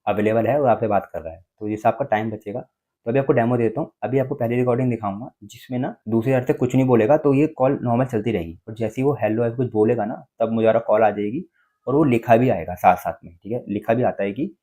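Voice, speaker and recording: Fast-talking Indian man giving a tutorial. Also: medium pitch, dark tone. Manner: explanatory, repeatedly checking in with tag words. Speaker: tech tutorial presenter. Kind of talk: casual step-by-step phone feature tutorial.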